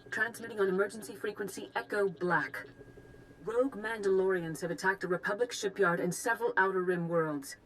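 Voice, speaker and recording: A woman playing a grave, composed Jedi master in a video game, giving formal briefings with measured authority. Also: medium pitch, a bit bright, a little hiss or hum, lightly acted, rough recording. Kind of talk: formal dramatic mission briefing.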